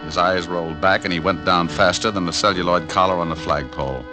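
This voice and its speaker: A man's voice: low-pitched, fairly even intonation, brisk, over music, natural voice. An actor playing a cynical, wisecracking male private detective in an old-time radio noir. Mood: wry, weary. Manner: wry, hard-boiled, flippant.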